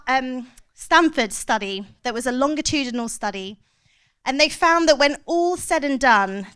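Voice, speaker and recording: A woman's voice: on the high side, a bit bright, smooth. A woman, a clinical psychologist giving a chatty, self-mocking short talk to an audience.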